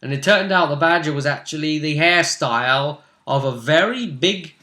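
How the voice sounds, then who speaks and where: A man runs a tabletop role-play game, telling events back to his player. His voice is bright and forward, and he repeats phrases for emphasis. The recording has a clear room echo. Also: a little high, moderate pace. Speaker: game master. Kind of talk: narrating and recapping a tabletop role-play session. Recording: roomy, echoey indoor recording.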